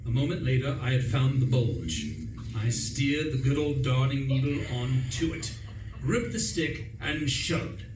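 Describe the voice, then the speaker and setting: A man playing a foppish, self-important but amiable young English gentleman, narrating a radio comedy in a chatty, pompous way with old-fashioned slang. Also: medium pitch, measured pace, lightly acted. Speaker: radio play performer. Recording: echoey room, distant mic, noisy.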